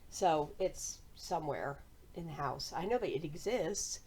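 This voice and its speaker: Chatty American woman, slightly low voice. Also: expressive, a little slow, a little mellow, slightly rough. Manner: conversational, cheerful. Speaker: cross-stitch vlogger and host. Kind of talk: casual chatty vlog.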